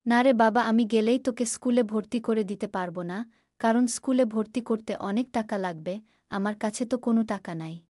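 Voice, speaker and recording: A woman playing a worried, exasperated poor village mother in a cartoon, plaintive and quick to scold. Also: on the high side, darkish tone, no echo, studio quality, close to an everyday voice. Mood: weary, reluctant.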